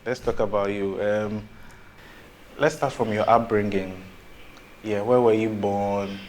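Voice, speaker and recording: A man hosts a relaxed interview in a low voice. He asks his questions plainly and keeps encouraging his guest, often with an approving wow. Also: measured pace, a little hiss or hum, low-quality recording. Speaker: interviewer host. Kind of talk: casual interview chat.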